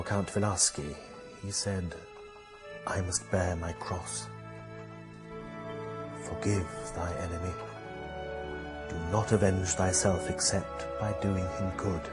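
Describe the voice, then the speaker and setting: An actor playing an earnest, resigned Russian nobleman in a solemn, deliberate radio drama monologue. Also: low-pitched.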